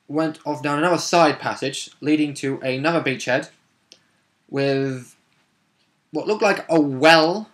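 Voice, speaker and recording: A man's voice: mid-range voice, fairly bright tone. Rambling man recounting a game session. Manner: rambling, wordy, with asides and self-corrections. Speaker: vlogger recapping a tabletop roleplaying session. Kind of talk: casual unscripted storytelling recap.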